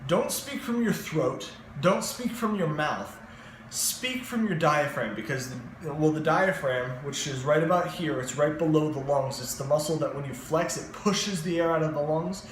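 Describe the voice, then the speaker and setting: A young man vlogging voice advice in a casual, very expressive way, with many fillers. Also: normal speed, a little hiss or hum. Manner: very expressive, swings in pitch and loudness, many fillers. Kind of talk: casual advice monologue to camera. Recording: echoey room.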